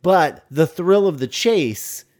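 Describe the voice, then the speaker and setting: A man's voice: on the high side, lively intonation, slow pace, a little mellow. A man co-hosting a podcast, casually discussing a film in a rambling way and repeating phrases for emphasis. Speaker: podcast co-host.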